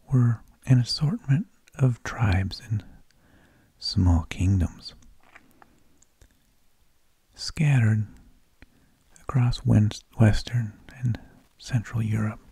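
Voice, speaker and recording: Very slow, breathy whispering man. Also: medium pitch, animated, mellow, dry recording. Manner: slow, halting delivery with long pauses. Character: mild, tedious energy vampire who lulls people to sleep. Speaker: ASMR host. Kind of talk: whispered ASMR monologue.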